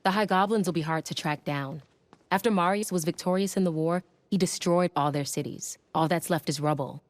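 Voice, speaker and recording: Woman as lowish, composed adventurer. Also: a little fast, no echo, lightly acted. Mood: explanatory. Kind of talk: video game cutscene acting.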